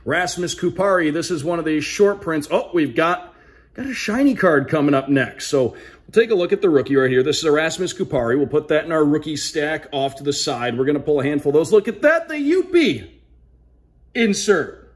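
Upbeat man with a higher-pitched voice. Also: lively intonation, fairly quick. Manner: upbeat, addresses viewers directly. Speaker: sports broadcaster hosting a card unboxing. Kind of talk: casual unboxing commentary. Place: indoor room at a wooden table.

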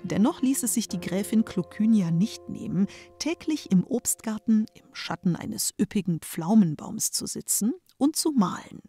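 A woman's voice: mid-range voice, dark tone, no echo. A middle-aged woman reading scripted storybook narration as a storyteller, close to the microphone. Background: music.

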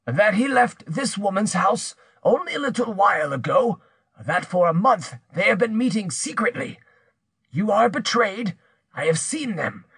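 A man's voice: sits high, very animated, unhurried, a bit bright, husky, no room sound, pitched above the performer's own voice, exaggerated voice. A man voicing a menacing, vengeful older uncle who blackmails and accuses vehemently in an audiobook story. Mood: vehement, accusing.

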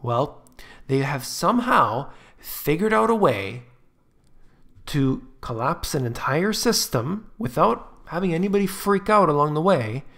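A man's voice: fairly high pitch, sing-song, unhurried, a bit bright. A man giving emphatic, scornful commentary on finance charts in a screen-share voice-over. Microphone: voice-over on screen recording.

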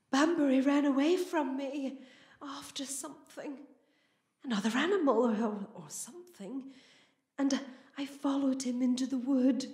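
A woman playing a lost, fretful Victorian lady in a radio play, halting and tender, with breathy gasps and whispers. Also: high voice, reverberant.

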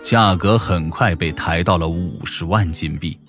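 A man's voice: sits low, measured pace, fairly bright tone. A man narrating a scripted audiobook story. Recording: music behind the voice.

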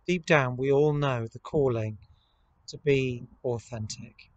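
A man, preaching a measured, earnest, reflective sermon to camera as a priest. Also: mid-range voice, no room sound, highs cut off. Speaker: priest leading online worship. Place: outdoors in a rural churchyard. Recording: outdoor camera recording with ambient noise.

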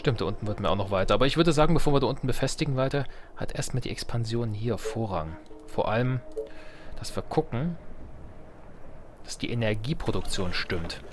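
A man's voice: mid-range voice, expressive, fairly quick, music in the background. A man giving casual gameplay commentary, muttering to himself as he thinks aloud. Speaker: Let's Play gamer.

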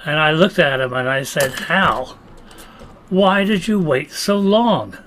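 A man in his seventies telling a short funny anecdote on a video call, slowing down for the punchline. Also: a little high, a bit bright, a little hiss or hum. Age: 70s. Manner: storyteller, slows for effect. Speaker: club member telling a story. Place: home room.

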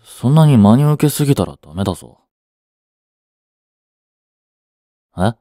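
A man's voice: medium pitch, expressive, fast, mellow, dry recording, studio quality, natural voice. A young man acting a curt, flustered boyfriend who hides his affection, with a hesitant, brusque delivery in a romance audio drama. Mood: groggy, sheepish. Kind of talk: Japanese romance audio drama aimed at women.

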